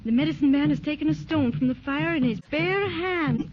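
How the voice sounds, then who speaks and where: 1930s radio ingenue voiced by a woman, high and earnest, muffled old recording. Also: moderate pace, lightly acted. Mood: wary. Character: young woman explorer, curious and nervous. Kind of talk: 1930s radio drama acting. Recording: dull 1930s radio transcription.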